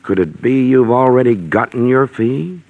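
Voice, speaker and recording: An American actor playing a suspicious, hot-tempered client in a 1940s radio crime drama. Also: male voice, mid-range voice, normal speed, narrow, tinny audio, slight background noise, natural voice. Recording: vintage 1940s radio recording.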